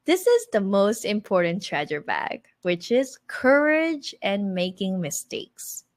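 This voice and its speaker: Lively, encouraging woman teaching a class. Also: mid-range voice, takes it slowly. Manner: animated, encouraging, acts out her own past words. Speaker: Korean language teacher. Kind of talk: motivational online masterclass.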